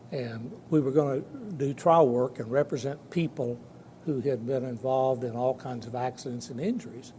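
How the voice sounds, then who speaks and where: An elderly man with a very mellow, medium-pitched voice gives an informal personal talk in an echoey hall. He is halting and folksy, restarting and repeating words, over a low rumbling noise. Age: 70s or older. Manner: halting, folksy, with many false starts and repeats. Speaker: guest speaker, trial lawyer. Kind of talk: informal personal testimony speech. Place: large room at a dinner event.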